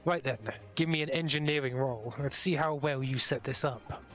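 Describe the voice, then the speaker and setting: A man's voice: mid-range voice, normal speed, a bit bright, faint music behind. A man running a tabletop roleplaying game as game master, talking casually through rules and scenes.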